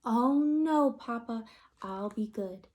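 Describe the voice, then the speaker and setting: A woman voicing an eager, excitable little red chicken child in a picture-book read-aloud. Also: on the high side, slow pace. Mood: sweetly promising. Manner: pleading, apologetic to Papa, bursting out excitedly.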